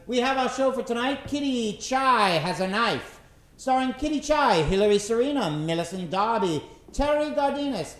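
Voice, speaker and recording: Theatrical male stage host, very echoey. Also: very high-pitched. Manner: theatrical, showman-like patter. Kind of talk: live improv show hosting to an audience. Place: theater stage before a live audience.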